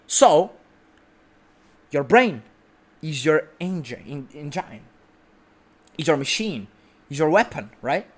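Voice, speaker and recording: A man's voice: fairly high pitch, big pitch swings, takes it slowly, long pauses. A young man giving an emphatic motivational talk, repeating his points and often asking "right?". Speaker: motivational speaker. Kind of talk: motivational monologue.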